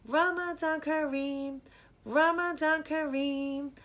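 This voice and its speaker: A woman voicing a gentle, devout old storybook grandmother who chants verses in a slow, melodic singsong. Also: high-pitched, steady tone, pitched above the performer's own voice. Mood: tender, devout.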